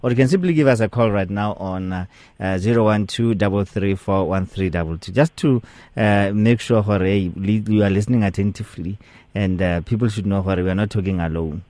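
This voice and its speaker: Easygoing radio host. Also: male voice, fairly low pitch, fairly quick, dark tone, no echo. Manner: easygoing, self-deprecating, reads out contact numbers. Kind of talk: radio talk show hosting.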